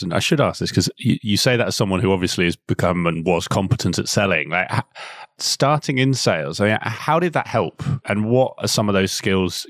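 A man's voice: low-pitched, a bit bright, dry recording, clean studio sound. A man hosting a podcast, asking long, winding questions and correcting himself partway through. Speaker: podcast host, interviewer. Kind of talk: conversational podcast interview.

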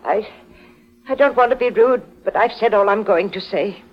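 A woman's voice: medium pitch, moderate pace, lightly acted. An actress playing a protective, anxious widowed mother, earnest and defensive, in a golden age radio drama. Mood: pleading, resolute. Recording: muffled vintage 1940s radio recording.

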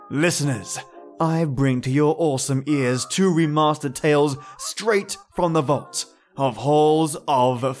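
An Australian man hosting a podcast, giving a theatrical, spooky introduction to old radio tales. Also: medium pitch. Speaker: podcast host.

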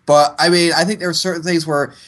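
Dude w a stuffy nose, kinda high voice, hesitant, lots of restarts. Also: male voice, fairly even intonation, fast talker, a bit bright. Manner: hesitant, many restarts and fillers, sighs. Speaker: podcast co-host. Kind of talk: casual movie review chat.

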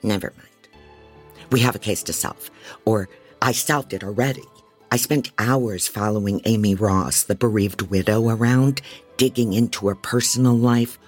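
A man's voice: lowish voice, normal speed, soft background music, close to an everyday voice. A man playing a frantic, disorganized private investigator who narrates an audio drama in a rambling, wry, self-doubting way. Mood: frazzled. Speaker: narrator and lead actor. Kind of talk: first-person dramatic audio fiction narration.

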